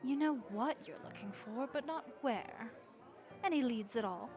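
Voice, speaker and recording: A woman's voice: fairly high pitch, lively intonation, a little slow, music in the background. A woman playing a friendly, worldly vampire innkeeper in a comforting audio roleplay, chatty and encouraging with a wry, folksy way of talking. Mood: helpful, easygoing.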